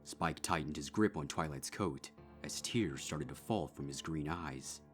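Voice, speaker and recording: Male narrator, extremely low voice, dramatic horror storytelling, faint music behind. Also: unhurried.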